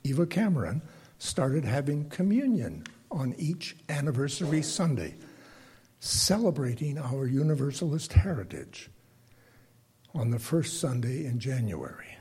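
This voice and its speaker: Older man, very rough husky voice, soft dark tone, deliberate halting delivery, reflective lay sermon. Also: big pitch swings. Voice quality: self-described bass voice. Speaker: congregation member giving a lay sermon. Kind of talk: reflective prepared talk to a congregation.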